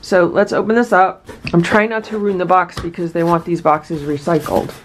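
Woman, low-ish voice, enthusiastic, chatty, home vlog. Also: steady tone, normal speed, background noise. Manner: enthusiastic, chatty, many asides. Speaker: vlogger unboxing a produce box. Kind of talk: casual unboxing chat.

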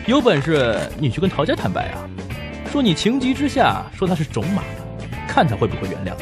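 A man's voice: a little high, huge pitch swings, moderate pace. A man playing a teasing, cheeky young boss and buddy in an amateur audio drama, with a playful manner. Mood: mock reproach. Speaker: amateur voice actor. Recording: loud music behind the voice.

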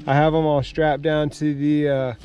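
A man in his thirties casually reviewing gear outdoors beside his van, relaxed and full of fillers. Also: fairly high pitch, normal speed, muffled recording. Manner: relaxed, filler-heavy, easygoing. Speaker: vlogger.

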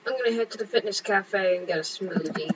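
A woman's voice: medium pitch, brisk. A young woman casually narrating her own game play, chatting to herself and to other players. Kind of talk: casual gameplay commentary. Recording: dull lo-fi mic with clear background hum.

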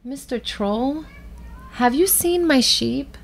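Woman as a plaintive, higher-pitched little girl. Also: expressive, takes it slowly, gravelly, heavily acted. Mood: anxious. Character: worried, sleepless little shepherd girl.

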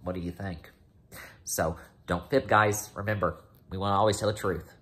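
A man's voice: low voice, steady tone, normal speed, fairly bright tone. An American man in his thirties, a teacher reading a picture book aloud with casual asides. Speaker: teacher reading to first graders. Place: small room at home. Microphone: phone or laptop at arm's length.

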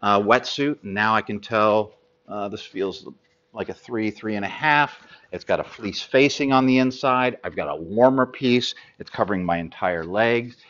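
An American man in his fifties presenting gear as an expert, explaining informally and haltingly to camera. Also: on the low side, fairly bright tone, highs cut off. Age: 50s.

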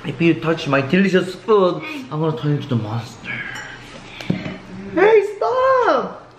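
A man in his thirties hosting a family food vlog at his kitchen table, talking in a playful, joking, excitable way. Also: high voice, unhurried, background noise. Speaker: vlog host. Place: home kitchen table indoors. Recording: echoey room with dish clatter.

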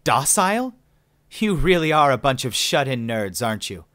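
A man reading an audiobook, voicing a defiant, sharp-tongued female alien captive who speaks in snapping, indignant bursts. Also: mid-range voice, lively intonation, measured pace, no echo, voice pushed up, natural voice.

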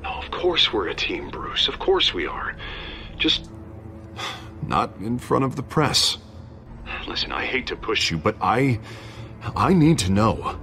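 Deep-voiced, pleading male politician. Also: big pitch swings, unhurried, pauses often, pushed and bright, full character voice. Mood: coaxing. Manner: hesitant, persuasive pleading. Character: smooth, nervous male politician running for office. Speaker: election candidate. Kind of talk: video game voice acting. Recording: music behind the voice.

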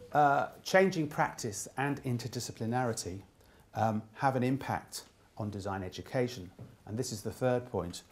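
A man in his fifties delivering a keynote speech, summing up from notes and often halting to repeat words. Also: medium pitch, a little mellow. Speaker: keynote speaker summing up a design conference. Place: indoor room with plain wall.

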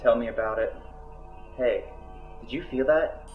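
A man's voice: medium pitch, measured pace, natural voice. A man playing a grumbling, world-weary cargo ship pilot in an audio drama, talking casually. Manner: casual grousing. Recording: dull, echoey sound with music.

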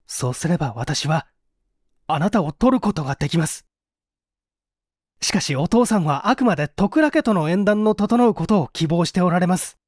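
A man voicing an earnest, composed young gentleman, formal and measured, in an audiobook drama. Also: sits high, expressive, dry recording, clean studio sound. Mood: resolute.

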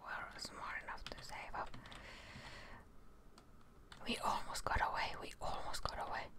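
A young woman who is not a native English speaker, whispering softly and breathily in ASMR game commentary, close to the microphone. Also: unhurried. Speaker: ASMR gaming streamer. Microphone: seen close microphone, wearing headset.

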